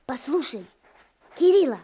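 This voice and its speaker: A woman's voice: high-pitched, animated, unhurried, mellow. A woman playing an eager, curious little boy in a Soviet radio drama, speaking earnestly. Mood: impatient.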